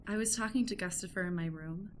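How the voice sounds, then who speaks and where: A woman playing a nosy, earnest, awkward journalist in an improvised comedic radio play, halting and polite with frequent stammers. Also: medium pitch, slight background noise, lightly acted. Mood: confiding.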